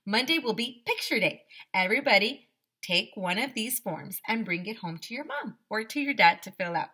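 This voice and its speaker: Woman playing a brisk, bright-voiced male schoolteacher. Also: medium pitch, animated, breathy edge. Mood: businesslike. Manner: announcing, instructive. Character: brisk adult male schoolteacher instructing his class.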